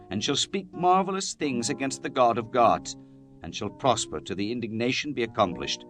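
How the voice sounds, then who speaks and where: A man's voice: mid-range voice, fairly bright tone. A man narrating scripture in a solemn, declamatory style, as in a dramatized Bible reading.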